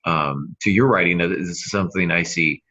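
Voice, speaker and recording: Male podcast host, very low voice, explanatory and measured, slightly dull lo-fi sound. Conversational mentor delivery. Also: sharp tone. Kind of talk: conversational writing-advice podcast.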